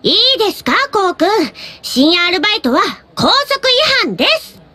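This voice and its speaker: High, fussy young schoolteacher. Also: female voice, sing-song, normal speed, pushed and bright, heavily acted. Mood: scolding. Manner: primly scolding. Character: cute, earnest young schoolteacher, fussy older cousin. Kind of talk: Japanese anime-style game acting.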